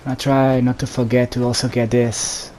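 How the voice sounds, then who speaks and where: A man talks through his own gameplay in a low-key, casual way. His voice stays at a middling pitch with very little rise and fall. He thinks aloud in loose fragments, and faint background noise runs under the recording. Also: moderate pace. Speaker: gamer narrating own playthrough. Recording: steady background noise behind voice.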